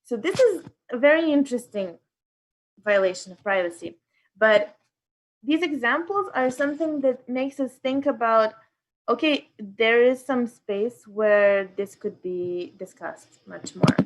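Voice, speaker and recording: A woman's voice: fairly high pitch, animated, a little slow, poor audio. A woman hosting a workshop, presenting informally in a hesitant way as she searches for words. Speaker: workshop host and artist.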